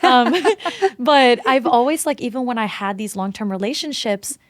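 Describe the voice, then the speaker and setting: A woman's voice: fairly high pitch, moderate pace, no room sound. A woman from Sweden talking as a podcast guest, telling personal stories candidly and informally, with "like" sprinkled through her speech. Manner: informal, filler "like" very frequent. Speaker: podcast guest, singer-songwriter. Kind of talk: candid conversational storytelling.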